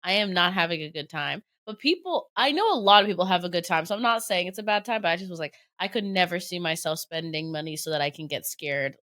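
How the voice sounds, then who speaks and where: A young woman chats as a podcast co-host, her voice a little raspy and drowsy from allergies. She talks in a loose, animated way, her pitch rising and falling widely and filling with "like." The tone is casual and friendly. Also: quick pace, hardly any pauses. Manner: chatty, animated, says "like" often. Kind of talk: casual chat.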